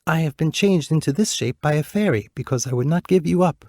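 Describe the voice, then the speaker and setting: A man's voice: on the high side, lively intonation, brisk, dark tone, dry recording, clean studio sound, voice pushed up. Fields: a man playing a tender young prince. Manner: earnest, tender. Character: lovesick young prince turned bluebird.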